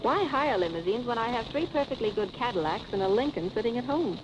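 An actress playing a poised, wry young wife in 1940s film style, cool and arch in manner. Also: female voice, fairly high pitch, lively intonation, quick pace, background noise, lightly acted. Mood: composed, teasing. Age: young adult. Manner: cool, arch, composed irony. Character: wry, poised young wife attending her own funeral. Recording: dull old film soundtrack with music.

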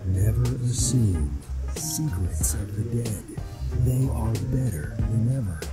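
An eerie ghost acted out by a performer speaks in a slow, solemn, threatening way. The voice swims in heavy reversed reverb with clear music behind it. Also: medium pitch, animated. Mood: ominous. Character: eerie menacing ghost warning about the dead. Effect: reverse reverb, ghostly wash. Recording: heavy echo over music.

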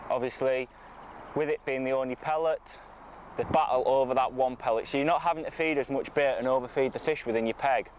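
A man's voice: mid-range voice, fairly even intonation, a little fast. A man, giving an informal, matter-of-fact tutorial to camera as a coach. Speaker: fishing coach presenting a tutorial. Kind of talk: informal instructional talk to camera. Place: outdoors on a lakeside bank. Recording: outdoor ambient noise.

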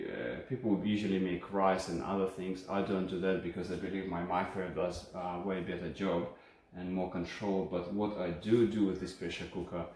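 A man's voice: low-pitched, a little mellow. A man with a Ukrainian accent hosting a casual, unscripted product review in a home kitchen, explaining things in a friendly way with many hesitations, filmed on a phone camera from a distance. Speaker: channel host reviewing a kitchen appliance. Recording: echoey kitchen with faint hum.

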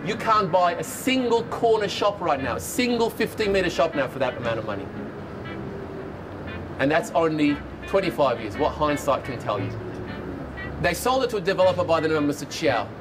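A man's voice: a little high, big pitch swings, moderate pace, music in the background. A man in his forties with an Australian accent, leading a heritage building tour outdoors on a rooftop and telling its stories with enthusiasm. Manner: storytelling, enthusiastic, lists places in rhythmic runs. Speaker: tour guide. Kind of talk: guided heritage tour talk. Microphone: lapel.

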